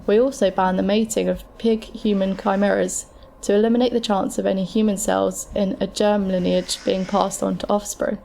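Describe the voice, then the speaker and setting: Casting: actress as composed, frank scientist advisor, dark soft tone with gravelly fry, scripted explanatory delivery, amateur audio drama. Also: female voice, medium pitch, flat delivery, slight background noise, lightly acted. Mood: explaining. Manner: measured, matter-of-fact, explanatory. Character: frank, calm futuristic transplant scientist advising a patient.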